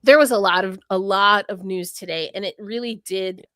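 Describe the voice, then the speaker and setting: A woman's voice: medium pitch, moderate pace, pushed and bright, no echo, studio quality. A woman hosting a sports talk show, blunt and exasperated, rambling through her asides. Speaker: podcast host. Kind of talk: casual sports chat.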